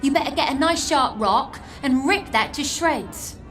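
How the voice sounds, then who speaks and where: A woman's voice: high voice, animated, measured pace, a bit bright, soft background music. A cheeky, streetwise Englishwoman. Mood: blunt, wry. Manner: mocking, blunt, slangy. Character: streetwise, scornful homeless Englishwoman, cheeky survivor. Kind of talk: video game character acting.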